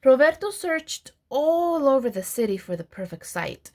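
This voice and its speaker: A woman reading a children's storybook aloud in an expressive storyteller's manner, with deliberate pauses. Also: sits high. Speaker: program administrator reading aloud.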